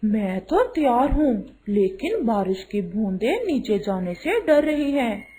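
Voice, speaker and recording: A woman's voice: a little high, very animated, unhurried, warm tone, slightly rough, exaggerated voice. A woman voicing plain-spoken bird and cloud friends in a children's cartoon, in a matter-of-fact manner. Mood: friendly, eager. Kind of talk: Hindi children's cartoon voice acting.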